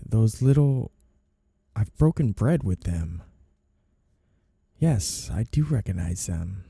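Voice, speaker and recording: A man playing a suave, two-faced, flirty mafia boss in an intimate audio roleplay, smooth, teasing and smugly commanding. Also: on the low side, warm tone, no echo, clean studio sound, exaggerated voice.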